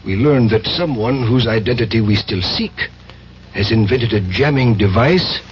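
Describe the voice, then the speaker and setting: A man's voice: mid-range voice, animated, lightly acted. Menacing Soviet agent male actor, methodical and commanding, radio drama sound with muffled tone and faint noise. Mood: coldly menacing. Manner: menacing, deliberate, authoritative. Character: cold, methodical Russian secret police villain. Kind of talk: 1970s radio drama acting. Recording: muffled, noisy old radio recording.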